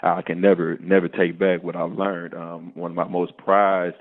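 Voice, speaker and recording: A man's voice: low voice, flat delivery, moderate pace. A man in his twenties from the American South, a guest on a podcast, chatting in an easygoing way and often calling the host "man". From Arkansas, US South. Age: 20s. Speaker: podcast guest, startup co-founder. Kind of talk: casual interview chat. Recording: phone-line sound.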